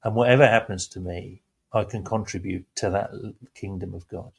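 A man hosting a relaxed faith-based chat, talking reflectively with gentle emphasis. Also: lowish voice, normal speed, vocal fry, breathy edge.

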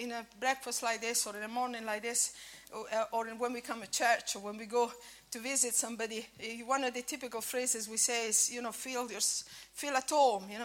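A woman's voice: a little high, fairly bright tone. A woman in her fifties, a native Italian speaker with an Italian accent touched by Scottish, giving an informal sermon at a church breakfast with many fillers and restarts. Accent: Italian with a bit of Scottish. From Italy. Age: 50s.

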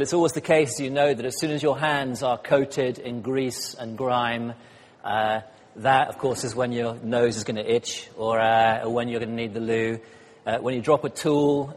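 Husky-voiced man preaching in a hall. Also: mid-range voice. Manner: chatty, self-deprecating, many ums and uhs. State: slightly hoarse. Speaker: guest speaker. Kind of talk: sermon with light humor. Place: church hall. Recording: church hall reverb.

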